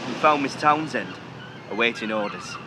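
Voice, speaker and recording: A man's voice: mid-range voice, lively intonation, normal speed, heavy background noise, lightly acted. A man playing a terse male security officer in a radio drama, reporting in clipped phrases.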